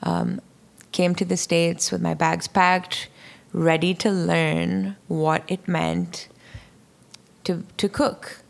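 A woman's voice: fairly low pitch, little pitch movement, slow pace, gravelly. A young woman chef from Pakistan, a podcast guest, talking candidly and matter-of-factly with many fillers. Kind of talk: podcast interview conversation.

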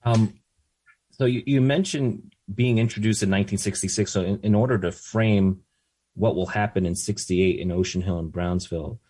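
A man hosting an interview, speaking haltingly with many filler sounds. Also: lowish voice, mellow, slightly rough. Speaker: host.

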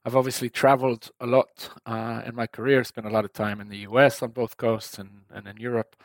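A man's voice: medium pitch, flat delivery, fairly quick, no room sound. A man in his forties from Israel, chatting as an interview guest, often laughing and repeating words as he restarts his sentences. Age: 40s. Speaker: guest, tech company founder. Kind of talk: casual interview conversation.

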